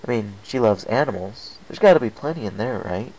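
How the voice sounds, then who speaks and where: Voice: mid-range voice, expressive, brisk, a little mellow, lightly acted, low-quality recording. A performer voicing an earnest young male pegasus pony in a storybook reading, anxious and a little hesitant. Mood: questioning.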